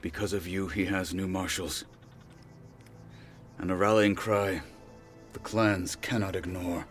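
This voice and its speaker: An actor playing a devoted young male warrior in a video game, speaking in a steady, grave and resolute way. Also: low-pitched, unhurried, faint music behind. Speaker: warrior marshal.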